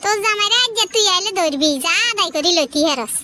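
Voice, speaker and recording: A woman's voice: extremely high pitch, lively intonation, quick pace, over music. A woman voicing a boastful, sly cartoon sister-in-law with a Noakhali Bangladeshi accent, gossiping and goading. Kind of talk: comedy cartoon dialogue.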